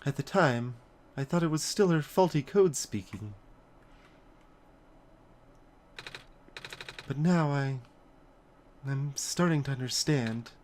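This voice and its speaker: A woman playing a remorseful, world-weary adult in a video game, speaking in a solemn, halting, earnest way. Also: extremely low pitch, animated, a little mellow, a little hiss or hum. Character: remorseful, world-weary adult addressing a lost child saviour. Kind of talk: voiced video game dialogue reading.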